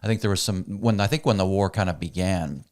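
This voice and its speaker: A man hosting an interview podcast, his voice on the lower side. He affirms his guest warmly in words and asks long, winding questions that circle before they land. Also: quick pace. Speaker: podcast host. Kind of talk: interview host.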